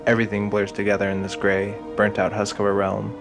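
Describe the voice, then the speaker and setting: A man's voice: fairly low pitch, monotone, fairly quick, creaky. A man reading scripted, dramatic narration for an audio drama. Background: music.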